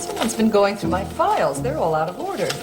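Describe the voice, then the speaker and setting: An actress playing a mild, helpful woman who keeps files, explaining gently and a little hesitantly in an old gothic TV soap. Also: mid-range voice, sing-song, a little fast, natural voice. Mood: mild, puzzled. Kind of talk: 1960s-70s gothic TV soap acting. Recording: old TV soundtrack under loud music.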